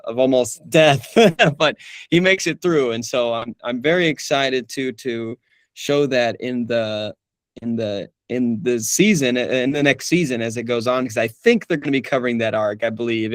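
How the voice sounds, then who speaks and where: Young man, relaxed laughing voice actor. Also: mid-range voice, dry recording. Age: young adult. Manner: relaxed, laughs often, many restarts and fillers. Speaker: voice actor guest. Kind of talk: casual interview chat.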